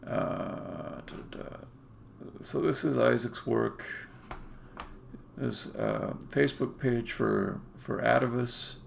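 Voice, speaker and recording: A man who develops indie games, talking casually and haltingly as he thinks aloud through a live-stream demo. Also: medium pitch, creaky, a little hiss or hum.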